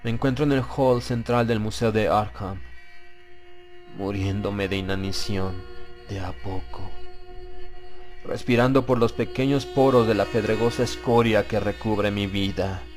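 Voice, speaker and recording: A man narrating a horror story in a slow, deliberate, grave and dramatic way, as for an audiobook. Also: fairly low pitch, a little mellow, faint music behind.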